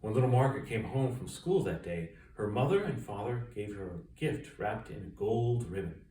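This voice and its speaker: A man reading a children's story aloud with expressive, dramatic emphasis. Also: fairly low pitch, normal speed. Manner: expressive, dramatic emphasis and sound-effect words. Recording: roomy echo, distant mic, faint noise.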